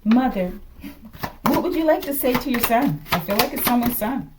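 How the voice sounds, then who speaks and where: A woman's voice: a little high, expressive, moderate pace, far from the mic, background noise. An American woman giving a casual, improvised tarot reading to viewers in a musing way, often checking in with "okay". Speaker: tarot reader.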